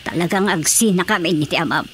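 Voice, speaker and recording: A woman's voice: on the low side, fast, fairly bright tone, slight background noise, close to an everyday voice. A woman with an Ilocano Filipino accent playing a hurt, stern wife and mother in a radio drama comedy. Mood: hurt, firm.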